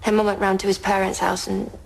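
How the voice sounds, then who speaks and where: A woman's voice: medium pitch, little pitch movement, a little fast, gravelly, a little hiss or hum, natural voice, poor audio. An actress with an English accent playing a guarded, loyal teenage schoolgirl, reluctant as she answers questions in an audio drama. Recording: faint background ambience.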